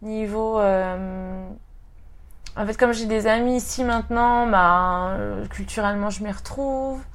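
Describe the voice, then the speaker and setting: A woman in her thirties from Brittany, a guest talking casually in an interview, with many pauses and fillers. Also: medium pitch. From Brittany, France. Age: 30s.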